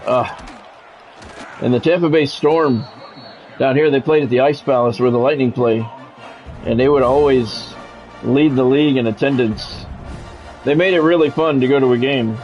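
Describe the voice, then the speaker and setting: A man's voice: mid-range voice, normal speed, long pauses, a little mellow, lo-fi sound, faint music behind. A middle-aged American man streaming a sports video game, mixing casual chat with punchy announcer-style play-by-play. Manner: conversational, switching into punchy announcer-style calls.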